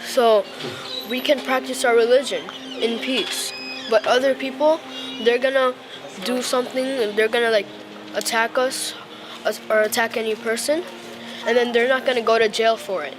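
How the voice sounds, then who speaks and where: A woman's voice: a little high, steady tone, normal speed, over music, poor audio. A woman answering frankly and casually, with many likes and gonnas. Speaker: community member.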